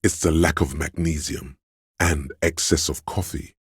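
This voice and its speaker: A man voicing the hedonistic inner voice of addiction in a video game, a sleazy tempter in the brain with a gravelly, husky, goading drawl. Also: rumbling low, fairly even intonation, measured pace, no echo, clean studio sound, exaggerated voice. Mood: coaxing, sly.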